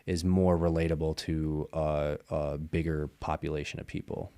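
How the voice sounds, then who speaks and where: A young man from the Midwest talks as a podcast guest, his voice deep and mellow. He rambles loosely, leaning on fillers like "like" and "bro". The sound is dry and close, with no room around it. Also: little pitch movement, normal speed, clean tone, dry recording. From Madison, Wisconsin. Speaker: guest, MMA fighter. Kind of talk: casual interview chat.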